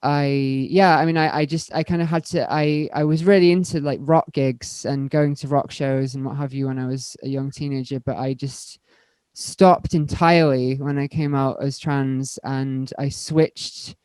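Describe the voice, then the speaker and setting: A young woman speaking as a panel guest, candid and stumbling, repeating words as she rushes ahead. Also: extremely low pitch, steady tone, a little mellow, smooth, no echo. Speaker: panel guest, electronic musician. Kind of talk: panel discussion.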